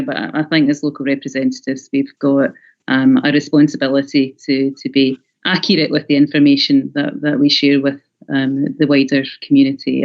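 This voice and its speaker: A local councillor making measured, diplomatic remarks in a formal meeting, choosing words hesitantly. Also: medium pitch. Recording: muffled video-call audio.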